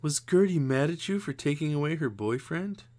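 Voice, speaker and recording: A man's voice: fairly high pitch, lively intonation, normal speed, darkish tone, voice pushed up, close to an everyday voice. A man voicing a curious, blunt young girl who asks frank questions. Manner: frank, wondering.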